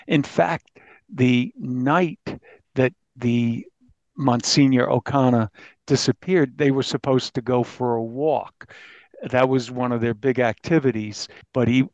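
A man with a medium-pitched voice and some gravelly creak talks reflectively in an interview, as a journalist would. The sound is dry and slightly muffled. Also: a little mellow, no echo. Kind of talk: conversational interview.

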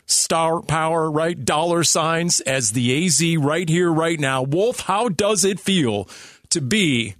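A man's voice: a little high, expressive, slow, fairly bright tone, no room sound, clean studio sound. A man hosting a sports radio show, talking in a hyped, theatrical, emphatic showman style.

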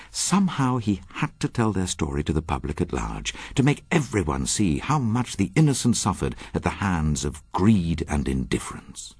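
A man's voice: low-pitched, darkish tone, breathy edge, dry recording, clean studio sound. A man introducing a radio drama with scripted narration in a solemn, dramatic storytelling manner.

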